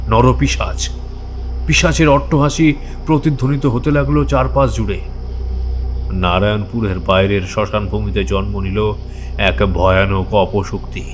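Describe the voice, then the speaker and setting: A man's voice: fairly low pitch, steady tone, unhurried, faint music behind. Theatrical male horror story narrator. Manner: theatrical, suspenseful.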